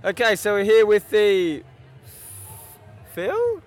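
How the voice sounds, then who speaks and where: A man's voice: high voice, wildly swooping pitch, takes it slowly, faint music behind. A man co-hosting a podcast interview outdoors, asking questions in a teasing, laughing way. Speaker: podcast co-host. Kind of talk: casual interview banter.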